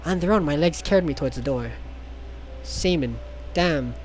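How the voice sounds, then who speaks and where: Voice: mid-range voice, lively intonation, normal speed, a little hiss or hum. An irreverent, swearing speaker reading a visual novel aloud for comedy, stumbling over words and throwing in joking asides. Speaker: reader of the game text for the group. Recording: loud music under the voice.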